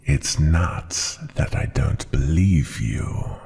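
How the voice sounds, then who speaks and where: A man voicing a proud, cruel dragon ruler in a fantasy ASMR roleplay, with a deep growl that often sinks to a breathy whisper, speaking in a deliberate, haughty, menacing way. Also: animated, a little mellow, heavily acted. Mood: haughty, probing.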